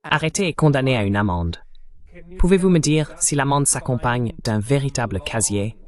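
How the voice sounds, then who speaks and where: A man with a mid-pitched voice hosts an interview. He presses his guest with pointed follow-up questions and checks the details carefully. The delivery is a steady voice-over. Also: normal speed, no room sound. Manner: probing, clarifying questions. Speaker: interviewer, host.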